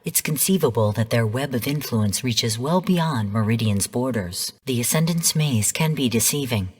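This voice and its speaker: An actress playing a composed, analytical female artificial intelligence who gives formal, measured mission briefings in a video game. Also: extremely low pitch, expressive, a little mellow, husky, exaggerated voice. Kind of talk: scripted game narration. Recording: long hall reverb on voice.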